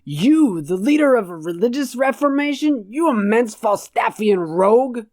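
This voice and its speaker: A woman's voice: a little high, animated, moderate pace, a little mellow, dry recording, studio quality. Woman voicing a pompous, irate old male philosopher. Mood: indignant. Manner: pompous, verbose, scornful.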